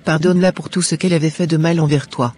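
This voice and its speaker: Guy doing a earnest churchy daughter, soft dark husky voice, cartoon dialogue, some faint music. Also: a little high, fairly even intonation, fast talker. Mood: pleading prayer. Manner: earnest, prayerful. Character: devout, worried daughter of a sick mother.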